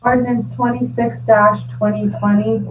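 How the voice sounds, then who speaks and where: A woman's voice: on the high side, level tone, moderate pace. A woman working as a county clerk, formally reading ordinances aloud item by item at a board meeting. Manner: procedural, recites legal descriptions item by item. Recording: muffled, distant mic with loud hum.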